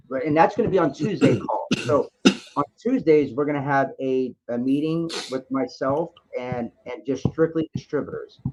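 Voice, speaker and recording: A man's voice: mid-range voice, darkish tone, breathy edge. A man hosting a sales conference call, answering questions in an encouraging way and often repeating his phrases. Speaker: host of a distributor conference call. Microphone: conference call line. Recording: dull lo-fi conference call audio.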